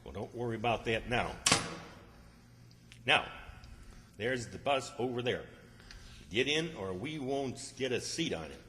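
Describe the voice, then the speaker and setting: An exasperated, hectoring small-town man played by a male actor in folksy old-time radio comedy style. His voice is forceful and animated, ringing in a reverberant hall. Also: mid-range voice, a little slow, pauses often, slight background noise. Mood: flustered, bossy. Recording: echoey hall with long reverb.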